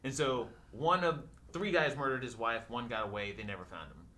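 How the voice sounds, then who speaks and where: A man's voice: medium pitch, fairly quick, bright, forceful tone. A man in a casual movie discussion, retelling plots in a rambling, informal way full of filler "likes". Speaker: podcast panelist.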